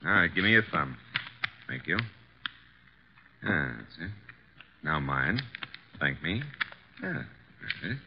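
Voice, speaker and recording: An actor playing a breezy, tough detective's assistant with a wry, slangy, flippant manner in 1950s radio drama style. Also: male voice, extremely low pitch, expressive, slow, long pauses, heavily acted. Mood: brisk. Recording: dull, faintly noisy old radio recording.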